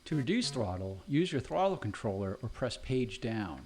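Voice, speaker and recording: Male tutorial narrator, animated delivery, scripted instructions over game music. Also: mid-range voice, normal speed. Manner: calm, step-by-step instructions. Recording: game audio with music behind.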